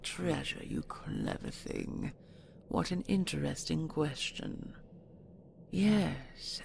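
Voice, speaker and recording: A regal dragon queen speaks in a low, heavily creaking growl. She is cold, possessive and imperious, drawing out each threat very slowly, as in a fantasy audio roleplay. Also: a little mellow, slight background noise, full character voice. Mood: cool probing. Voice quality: gravelly, growling. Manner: imperious, slow, deliberate, threatening.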